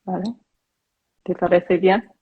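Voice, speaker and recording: Slow-spoken husky woman. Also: lowish voice, completely flat delivery, phone-quality sound. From Korea. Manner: slow, careful, hesitant speech. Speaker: guest. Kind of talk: conversational online interview. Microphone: video call.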